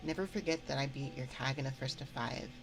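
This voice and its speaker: A woman hosting a casual livestream chat, thinking aloud in a hesitant, halting way into a close microphone. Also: very deep voice, vocal fry, over music, low-quality recording. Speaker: livestream host. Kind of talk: casual livestream chat, answering viewer comments. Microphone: close binaural microphone.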